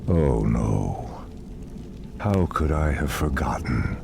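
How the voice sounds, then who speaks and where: An actor playing a stern, ancient night elf druid leader, grave and commanding, in a video game cutscene. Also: male voice, rumbling low, lively intonation, slow, gravelly, background noise, exaggerated voice. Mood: troubled. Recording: music behind.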